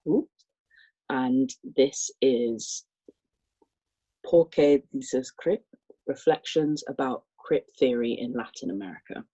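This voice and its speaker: Chill host intro-ing the next talk, mellow voice, some ums. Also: medium pitch, slow pace, long pauses, husky, lo-fi sound. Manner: casual, with filler words while reading out titles. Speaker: panel chair. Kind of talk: introducing speakers.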